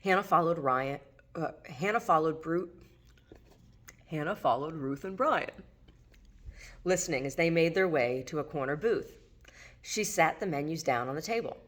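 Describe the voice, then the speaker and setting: A woman's voice: low-pitched, normal speed, pauses often. A woman narrating her own work of fiction as an audiobook reading. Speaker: author reading own novel aloud.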